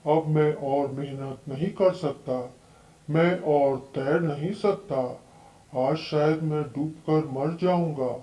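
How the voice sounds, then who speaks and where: A man acts out a male frog in peril in a cartoon story. The voice is soft-edged and a bit high for a male, and the words come slowly. It rings with a roomy echo. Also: fairly even intonation. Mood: despairing, resigned.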